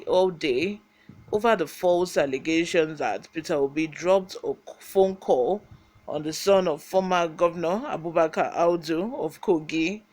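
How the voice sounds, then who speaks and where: Higher-pitched Nigerian man presenting news. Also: rough recording. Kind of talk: scripted news narration with informal commentary.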